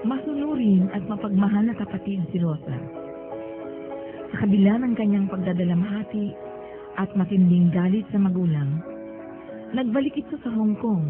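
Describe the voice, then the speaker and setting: A woman with a mid-pitched voice narrates a radio drama from a script. The recording is tinny and narrow, with loud music playing under her. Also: moderate pace, long pauses.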